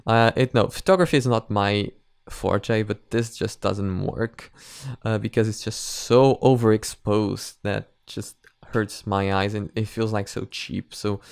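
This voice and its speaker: A man's voice: on the low side, vocal fry, darkish tone, smooth, no room sound, clean studio sound. A man hosting a reaction video, talking in a casual, rambling, conversational way.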